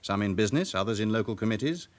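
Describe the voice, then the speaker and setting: A proud, guarded businessman played by a male actor in a radio drama. He speaks low, with wide swings of pitch, in a formal and controlled way that turns faintly haughty. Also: lively intonation, racing, lightly acted. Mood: firm, indignant. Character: smooth respectable businessman with a past, guardedly proud. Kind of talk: naturalistic radio drama acting.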